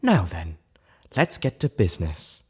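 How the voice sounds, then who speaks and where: A man's voice: sits low, huge pitch swings, unhurried, dark tone, husky, full character voice. A man voicing an elegant female pony with a gracious, charming and self-assured manner in a story reading. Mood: gracious, businesslike. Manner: demure, gracious, charming, assertive. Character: Velvet Remedy, elegant coaxing female pony. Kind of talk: character dialogue in a fan fiction reading.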